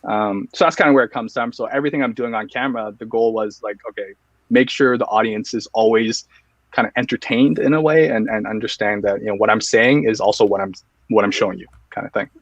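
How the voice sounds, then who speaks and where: A man's voice: on the low side, steady tone, fast talker, no echo, muffled recording. A man guesting on an interview, earnestly explaining how he works, step by step. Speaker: guest, video reviewer. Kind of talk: casual interview answers.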